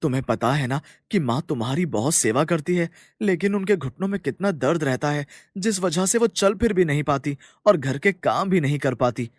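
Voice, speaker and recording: A man's voice: a little high, expressive, normal speed, hardly any pauses, dry recording, clean studio sound. A man playing an earnest, respectful young village farmer, a devoted son in a cartoon story. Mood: grateful pleading.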